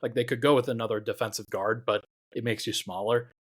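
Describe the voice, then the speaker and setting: A man's voice: medium pitch, fast talker, husky. Casual man hosting a basketball podcast. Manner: relaxed, thinking aloud with many fillers. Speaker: podcast host.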